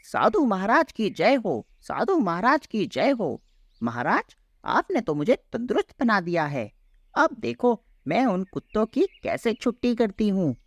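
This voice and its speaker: A skinny village cow from a children's cartoon , voiced by a woman, plaintive and full of exclamation. The pitch moves up and down a lot, and the sound is close and dry. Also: big pitch swings, measured pace, no echo. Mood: grateful. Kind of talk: children's cartoon story acting.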